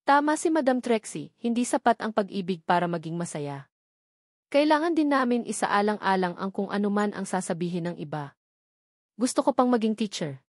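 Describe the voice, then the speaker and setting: A woman's voice: medium pitch, very animated, normal speed, dry recording, studio quality. A woman reading a romance novel aloud as a scripted first-person narration. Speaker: audiobook narrator.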